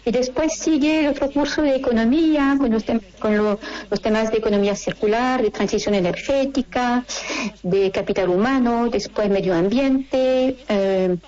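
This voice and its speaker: A woman with a French accent speaks as an interview guest in a high, soft-edged voice. She explains conversationally, pausing and repeating herself as she looks for the right word. The audio is dull and lo-fi. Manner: halting, searching for words with repetitions. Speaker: interview guest, course director.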